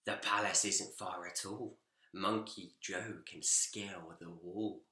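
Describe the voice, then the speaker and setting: A man voicing a scheming, chuckling crook from a children's picture book, plotting in a drawn-out, conspiratorial way. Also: sits low, fairly even intonation, bright, forceful tone, pitched below the performer's own voice, heavily acted. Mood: sly, plotting. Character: two scheming male crooks plotting a robbery, chuckling and sly. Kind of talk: picture book read aloud. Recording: echoey room.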